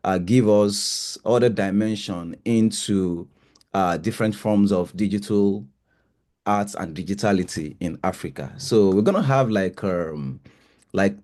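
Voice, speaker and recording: A male lecturer introduces a guest to his class in a fairly low voice. He talks hesitantly and leans on "you know" and "uh" between phrases. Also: a little mellow. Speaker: university lecturer.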